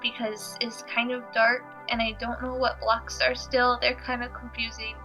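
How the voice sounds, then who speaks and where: Woman playing a bright-voiced, unsure little girl. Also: on the high side, little pitch movement, moderate pace, muffled recording, faint music behind. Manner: childlike, hesitant. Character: very small, unsure seven-year-old girl. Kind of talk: improvised tabletop role-play.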